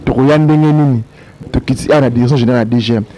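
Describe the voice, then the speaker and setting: A man, a reporter briskly introducing the story and questioning an official. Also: medium pitch, mellow, low-quality recording. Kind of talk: news report and interview.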